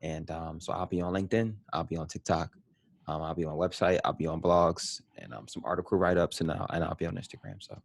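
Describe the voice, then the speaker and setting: Man, guest, very deep voice, measured and full of fillers, dry close sound. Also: vocal fry, no room sound. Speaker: podcast guest. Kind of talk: casual chat.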